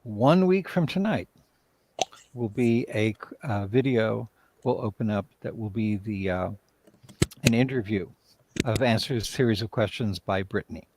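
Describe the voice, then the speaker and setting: A man talking casually on a livestream video call as a writer and director, telling things in a hesitant, halting way. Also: lowish voice, lively intonation, background noise. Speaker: writer and director, interview guest.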